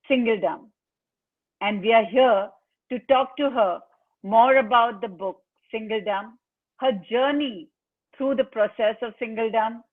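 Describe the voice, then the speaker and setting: Slow-speaking woman host. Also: a little high. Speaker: interview host. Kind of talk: book interview. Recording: tinny phone-like audio.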